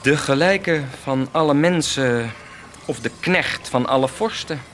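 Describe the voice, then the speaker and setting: An actor playing a stern, zealous peasant prophet and rebel in a radio drama, speaking fervently and without compromise, like a preacher. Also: male voice, mid-range voice, unhurried, a bit bright, natural voice. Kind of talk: theatrical radio drama acting.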